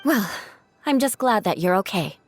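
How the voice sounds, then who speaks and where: A composed, thoughtful companion, voiced by a woman speaks in a measured, caring way. Her voice sits in a middle register. Faint game music plays behind her. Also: medium pitch, expressive, lightly acted. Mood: concerned. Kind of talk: video game voice acting.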